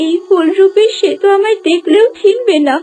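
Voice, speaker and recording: A woman's voice: very high-pitched, brisk, mellow, a little hiss or hum. A woman voicing a sorrowful young maiden turned talking flower in a fairy-tale cartoon, speaking plaintively.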